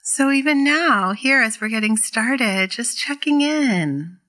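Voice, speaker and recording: A woman teaching guided meditation in a gentle, encouraging, unhurried way. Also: fairly high pitch, lively intonation. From New England, living there. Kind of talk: guided meditation instruction to an online community.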